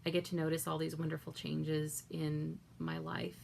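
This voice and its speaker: A rambling woman talks to her vlog audience in a raspy, gravelly voice that sits on the low side. She circles back and corrects herself often, with faint room ambience in the background. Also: monotone, normal speed, a little mellow, a little hiss or hum. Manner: rambling, self-correcting, lots of likes and ums. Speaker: vlogger. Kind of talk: casual chat.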